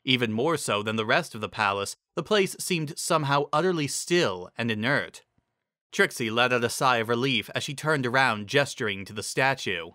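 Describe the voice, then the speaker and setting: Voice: mid-range voice, lively intonation, moderate pace, pushed and bright, dry recording, studio quality. A narrator giving a dramatic scripted reading of a fantasy story. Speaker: narrator reading fan fiction.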